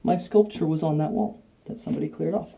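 A man's voice: on the high side, fast, gravelly, background noise. A man chatting and joking as he films inside a cramped cave on a head-mounted camera. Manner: wry, joking, rambling. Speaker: cave explorer filming. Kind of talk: casual chat while caving. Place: tight underground cave.

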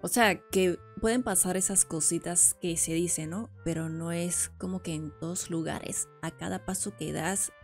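A woman's voice: lowish voice, fairly even intonation, normal speed, few pauses, over music. Woman reacting on stream. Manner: chatty, adds asides. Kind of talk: casual reaction commentary. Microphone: headset mic.

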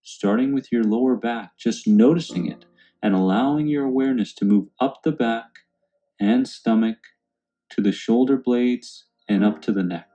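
A man calmly guiding a meditation in a soothing, unhurried way. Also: mid-range voice. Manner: calm, soothing, unhurried instruction. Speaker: meditation guide. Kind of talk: guided meditation narration. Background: background music.

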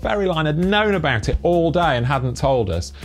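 A young man from Britain talking to camera on a lapel mic, giving brisk, earnest advice with dry asides. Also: a little high, expressive, faint music behind. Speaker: family cycle touring vlogger. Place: indoor room with brick wall and bikes.

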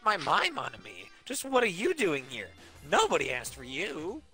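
A man's voice: fairly high pitch, wildly swooping pitch, normal speed, bright, forceful tone, faint music behind, heavily acted. A man voicing a gleefully menacing mascot bear from a video game, taunting in a sing-song way.

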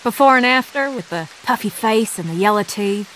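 A woman's voice: on the high side, animated, normal speed, fairly bright tone, a little hiss or hum, rough recording. An actress playing a guarded young woman in a game drama, speaking in a dry, sardonic, teasing way. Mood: sassy, teasing. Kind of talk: naturalistic dramatic game dialogue.

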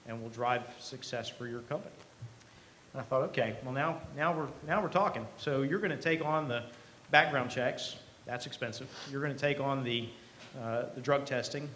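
A middle-aged man giving a conversational keynote speech at a podium microphone, earnest and emphatic, with deliberate pauses. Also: medium pitch, a bit bright, rough recording. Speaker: company president, keynote speaker. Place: event hall stage. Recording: live podium speech with clear background noise.

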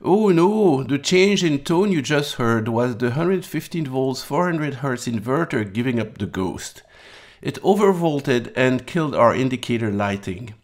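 A man's voice: medium pitch, expressive, measured pace, few pauses. A man chatting and narrating casually as the host of an electronics workshop video. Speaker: host of electronics restoration channel.

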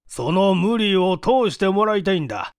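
A man voicing a weighty, insistent company president in a storybook reading, formal, pressing and deliberate. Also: high voice, animated, clean tone, dry recording, studio quality. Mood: persuasive, urgent.

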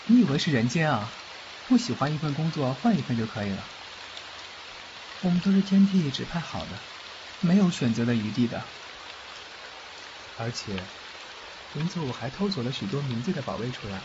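A man acting a fugitive underworld official and doting, cocky godfather in a fan audio drama, expressive and protective. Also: medium pitch, moderate pace, pauses often, natural voice. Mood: animated, explaining. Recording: muffled, faint background noise, rain-like ambience.

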